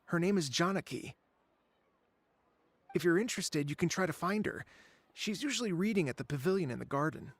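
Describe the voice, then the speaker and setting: Higher-voiced, creaky young academic. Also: male voice, lively intonation, fairly quick, pauses often, no echo. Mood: helpful, modest. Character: unambitious, talkative young male academic. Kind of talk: video game voice acting.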